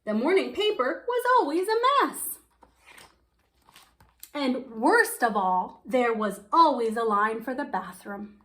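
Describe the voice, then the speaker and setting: Lively woman reading a children's storybook. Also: a little high. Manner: animated storytelling with emphatic, drawn-out words. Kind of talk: expressive read-aloud of a picture book.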